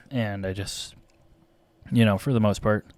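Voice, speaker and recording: A young man, a photographer, rambling casually about his work as he edits photos, hesitant with many fillers, often clearing his throat, his voice going a little raspy. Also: lowish voice, steady tone, slow pace, darkish tone, no room sound. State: voice getting raspy. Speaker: photographer and vlogger. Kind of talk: casual rambling commentary while photo editing. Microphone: desk mic.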